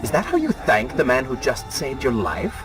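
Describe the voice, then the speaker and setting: An actor voicing a mocking, disembodied dark alter ego in a video game, sardonic and commanding. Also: male voice, medium pitch, huge pitch swings, normal speed, music in the background. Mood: mocking, condescending.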